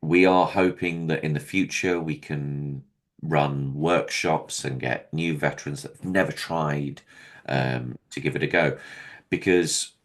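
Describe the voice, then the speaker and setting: A man with an extremely low, deep voice, chatting candidly as a guest in an interview. He talks in a hesitant, self-deprecating way, full of pauses and restarts. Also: steady tone. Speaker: comedian and veteran guest.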